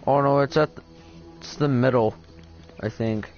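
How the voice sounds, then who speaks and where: A deep-voiced teenage boy chatting casually with a friend while playing a game, laid-back and swearing a lot. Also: steady tone, normal speed, dull audio, faint music behind. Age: teen. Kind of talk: casual gaming chat.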